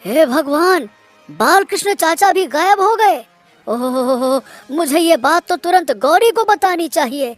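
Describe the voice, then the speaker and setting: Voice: very high voice, animated, takes it slowly, dry recording, faint music behind, heavily acted. A performer voicing an alarmed, concerned village man in a Hindi cartoon, anxious and dramatic.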